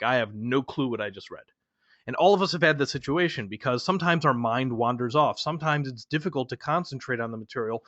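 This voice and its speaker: A man's voice: medium pitch, a bit bright, no echo, lo-fi sound. A man in his thirties from Chicago, whose first language is Polish, teaching a speed reading lesson in a loose, conversational way with fillers and restarts. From Chicago, USA. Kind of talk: lecture to a class.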